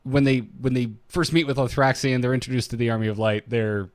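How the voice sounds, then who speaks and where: A man co-hosting a podcast, talking casually and off the cuff in a halting, wry, conversational way. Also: mid-range voice, fairly even intonation, clear, resonant tone, no room sound. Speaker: podcast co-host. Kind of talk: casual, unscripted fan discussion.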